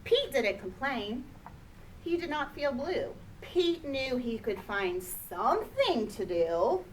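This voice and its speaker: Unhurried woman reading a children's storybook. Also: high-pitched, sing-song. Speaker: storybook reader. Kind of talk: children's picture book read-aloud. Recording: distant mic, faint background noise.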